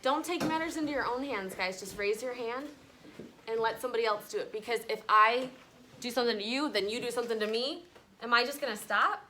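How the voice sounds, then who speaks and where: Female schoolteacher, high and bright, expressive story read-aloud and class chat, some background noise. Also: brisk. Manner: animated, conversational, checking in with questions. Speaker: elementary school teacher. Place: classroom with children.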